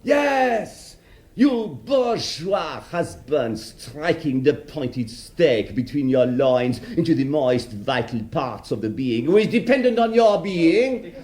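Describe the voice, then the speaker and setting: A brazen bourgeois villain with a high-pitched voice. He declaims slowly and theatrically, savouring florid phrases. His manner is sardonic, gloating and utterly self-righteous, in the style of a radio play. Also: a little mellow. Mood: taunting, impassioned. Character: brazen necrophile murderer, self-righteous bourgeois man in court. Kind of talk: theatrical radio drama monologue.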